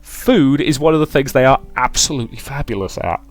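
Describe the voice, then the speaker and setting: A man's voice: medium pitch, fairly quick. Wry young British man, casual commentary. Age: 20s. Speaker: let's play host. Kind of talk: casual commentary while reading a visual novel aloud.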